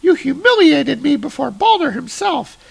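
Man putting on a creaky, very high haughty enchantress. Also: big pitch swings, normal speed, exaggerated voice, low-quality recording. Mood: indignant. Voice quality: creaky falsetto. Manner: theatrical archaic phrasing. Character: haughty, indignant enchantress woman, grand and wounded.

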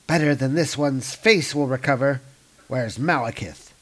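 A man voicing a cool, vengeful female dark elf witch warrior from a comic book, dry and curt. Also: mid-range voice, measured pace.

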